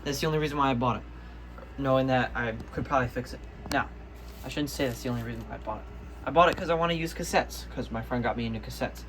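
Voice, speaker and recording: A man's voice: medium pitch, quick pace, fairly bright tone. Rambling young man, noisy home recording. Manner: rambling, easily sidetracked. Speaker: hobbyist video maker. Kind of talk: casual unscripted show-and-tell. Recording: noticeable background noise, amateur home video.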